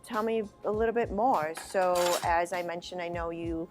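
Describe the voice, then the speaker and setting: A woman hosting a TV cooking segment, asking questions and giving quick encouraging replies. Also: on the low side, over music. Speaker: host. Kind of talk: TV cooking show chat. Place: restaurant bar.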